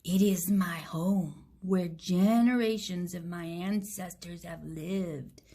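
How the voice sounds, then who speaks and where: A woman voicing a huge, solemn male boa constrictor from a children's storybook, in a slow, hissing whisper. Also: on the low side, mellow, breathy edge, voice pushed down, full character voice. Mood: solemn warning. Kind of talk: children's storybook read-aloud.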